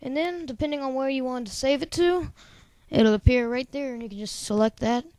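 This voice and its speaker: Chatty male tutorial host, squeaky high voice with a soft, mellow edge, dry close sound. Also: a little fast, clear, resonant tone, dry recording. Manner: rambling, chatty, lots of filler words. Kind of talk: casual screen-recording tutorial.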